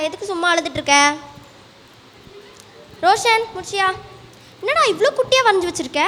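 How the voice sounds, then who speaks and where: A woman playing a schoolteacher in an amateur church skit, with a very high, sharp voice. She questions and chides her pupils like an exasperated but caring teacher. The sound rings in a big hall with some noise. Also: full character voice. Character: scolding, teasing schoolteacher, later gently consoling. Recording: echoey hall, distant, noisy room sound.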